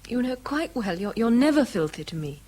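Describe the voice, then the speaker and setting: An actress playing a patient, solicitous young woman, gently looking after her partner. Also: fairly high pitch, sing-song, fairly quick, husky. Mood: caring, concerned. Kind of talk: radio drama dialogue.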